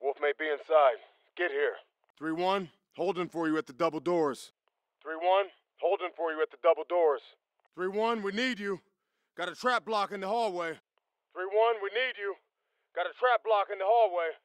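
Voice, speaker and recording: A man acting as a battle-hardened American Marine squad leader in a military shooter game. His voice sits high for a man and is recorded close and dry. He speaks in clipped, salty commands with total confidence. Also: moderate pace, no echo, close to an everyday voice. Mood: low, wary. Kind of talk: video game combat dialogue.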